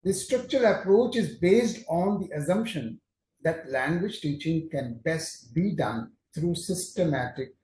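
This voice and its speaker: An older man with an Indian English accent, recalling his teaching days in a measured, explanatory way. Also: fairly high pitch, dark tone. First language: Hindi or Urdu. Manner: measured, explanatory, with frequent restarts. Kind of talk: reflective teaching talk. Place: office, indoors. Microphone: camera on desk, mid-shot. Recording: echoey room with faint hiss.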